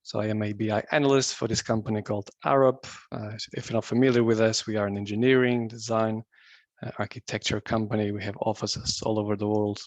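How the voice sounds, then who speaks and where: A man's voice: fairly low pitch, flat delivery, fast, no echo. Portuguese-born man presenting over a video call. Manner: friendly, conversational, some restarts. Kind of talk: online meetup presentation.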